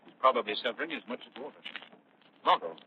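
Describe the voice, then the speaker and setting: An actor playing a resolute, mysterious crime fighter in a 1940s radio drama, with deliberate, ominous delivery. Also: male voice, mid-range voice, huge pitch swings, creaky, dull audio, heavily acted. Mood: grave, resolved. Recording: old radio recording with faint noise.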